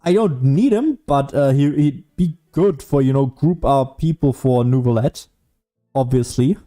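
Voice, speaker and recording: A man giving casual solo gameplay commentary as a streamer, rambling and thinking out loud as he goes. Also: medium pitch, a little slow, faint music behind. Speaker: gaming streamer.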